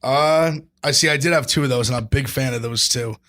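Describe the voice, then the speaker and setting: Man with a deep raspy baritone. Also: a little fast, a bit bright. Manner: laid-back, lots of "like" fillers. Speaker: podcast co-host. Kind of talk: casual chat.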